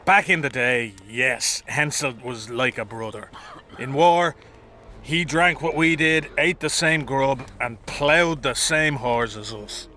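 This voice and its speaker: An actor playing a blunt, boozy common soldier in a fantasy game, coarse and combative. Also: male voice, mid-range voice, takes it slowly, bright, forceful tone. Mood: grumbling. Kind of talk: video game dialogue acting.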